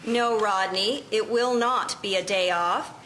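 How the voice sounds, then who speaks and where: A woman playing a firm, proper 1950s schoolteacher in an amateur audio drama, speaking in a measured, formal way. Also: medium pitch, a bit bright, slight background noise, natural voice. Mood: stern. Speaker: actor in an amateur history drama.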